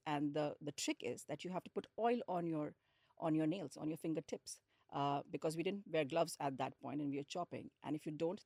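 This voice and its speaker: Podcast guest: woman, Indian accent, deep register, telling personal stories conversationally, very little room sound. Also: a little mellow, no room sound, clean studio sound. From India, grew up in Lucknow and Hyderabad. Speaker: podcast guest, hospitality executive. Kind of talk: conversational interview storytelling.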